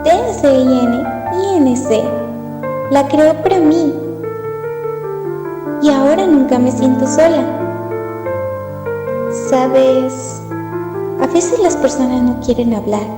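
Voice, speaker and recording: A woman voicing a sweet, eerie female companion in an analog horror story, gentle and soothing like a storybook reader. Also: sits high, takes it slowly, pauses often. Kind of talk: scripted analog horror narration. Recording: echoey hall reverb, music behind.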